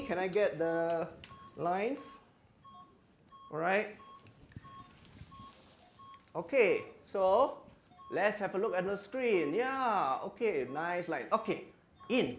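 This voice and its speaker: This is a man running an anesthesia simulation on stage. His voice sits a little high and he talks in a reassuring, guiding way. Faint music plays behind him. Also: slow pace, long pauses. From Malaysia. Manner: calm, reassuring, talks to staff and patient. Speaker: anesthesiologist leading a crisis simulation. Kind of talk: live simulation role-play at a conference. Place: conference stage.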